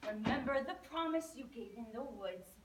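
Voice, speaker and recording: A woman voicing a small green male frog who pleads in a croaky, sing-song voice. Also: a little high, animated, normal speed, reverberant, far from the mic, soft background music, close to an everyday voice, low-quality recording. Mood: pleading sing-song.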